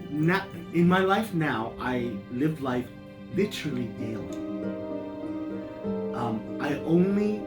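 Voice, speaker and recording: Reflective man in his fifties. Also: on the high side. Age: 50s. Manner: reflective, slow and halting with restarts. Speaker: guest musician. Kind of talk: casual conversation. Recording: roomy sound with guitar music behind.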